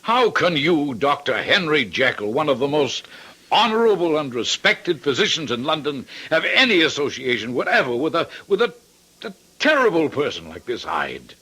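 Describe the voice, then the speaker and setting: A man playing a concerned, insistent gentleman friend in an old radio drama, formal and urgent with dramatic pauses. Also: a little high, very animated, slightly rough, full character voice. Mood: pleading, urgent.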